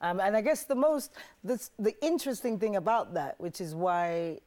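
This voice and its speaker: A woman's voice: mid-range voice, animated, moderate pace, mellow. A middle-aged woman, an actress born in Ethiopia, telling her story candidly as a TV interview guest. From born in Ethiopia to South African parents. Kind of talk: TV studio interview.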